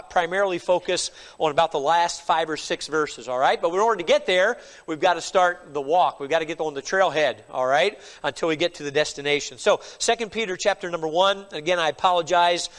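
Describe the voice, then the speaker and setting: High-voiced stuffy preacher, emphatic. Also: male voice, brisk, hardly any pauses, fairly bright tone. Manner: emphatic preaching with frequent "all right?" asides. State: stuffy, slight cold. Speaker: pastor. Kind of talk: sermon.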